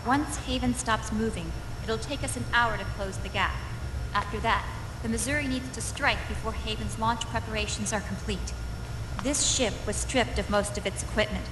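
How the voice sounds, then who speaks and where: An actress playing a composed, authoritative female commander in a video game, briefing in a measured, businesslike way. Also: fairly high pitch, background noise, lightly acted. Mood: grave, focused. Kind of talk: video game cutscene acting. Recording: long echoing hall, music underneath. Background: music.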